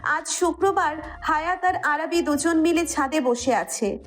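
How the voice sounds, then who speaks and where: A woman's voice: sits high, a little slow. A woman with a Bangladeshi accent narrating a scripted romantic audio story.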